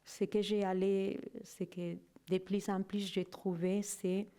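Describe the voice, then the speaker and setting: A woman giving a personal testimony in halting, non-native French, often searching for words and checking in with "non?". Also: medium pitch, flat delivery, warm tone. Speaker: guest speaker giving a testimony. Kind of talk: slow reflective personal testimony.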